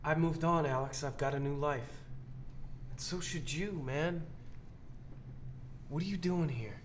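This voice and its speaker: A man's voice: on the high side, unhurried, slight background noise, lightly acted. An actor playing a defensive, desperate man hiding his past, in a naturalistic screen drama. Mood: placating. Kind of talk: naturalistic film drama acting.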